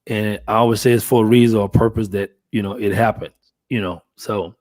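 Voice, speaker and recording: A man's voice: on the low side, steady tone, fairly quick, darkish tone. A man in his fifties hosting a casual chat, rambling with many restarts and fillers. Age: 50s. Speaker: podcast host.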